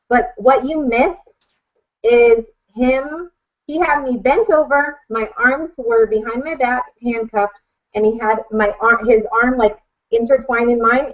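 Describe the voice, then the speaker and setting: A woman speaking emphatically and frankly as a podcast guest, giving a casual personal account. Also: a little high. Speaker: animal rescue advocate, podcast guest. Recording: dull lo-fi podcast recording.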